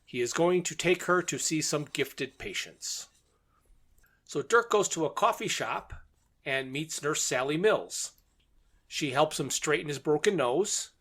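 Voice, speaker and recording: Higher-voiced man, casual co-host. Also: lively intonation, measured pace, a bit bright. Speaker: podcast co-host. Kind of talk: casual book and radio drama discussion.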